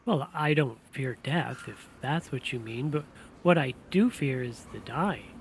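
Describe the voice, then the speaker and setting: A man's voice: medium pitch, expressive, a little slow, gravelly, a little hiss or hum, low-quality recording. A man playing a puzzled, wary husband and prospective client in an audio drama, speaking hesitantly and questioningly.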